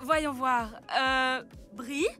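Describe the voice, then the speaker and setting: Seda, very high bright seahorse girl. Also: steady tone, extremely slow, faint music behind, heavily acted. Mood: bubbly, welcoming. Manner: gushing, enthusiastic. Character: Seda, bubbly excitable seahorse girl, water dancer. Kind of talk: French animated series dubbing.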